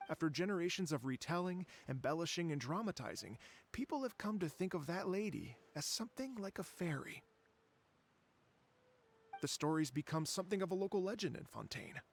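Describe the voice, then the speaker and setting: A man voicing a courteous, middle-aged, earnest and wistful traveler in an anime game, speaking in a polite, measured way. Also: a little high, gravelly. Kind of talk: anime game voice acting, storytelling.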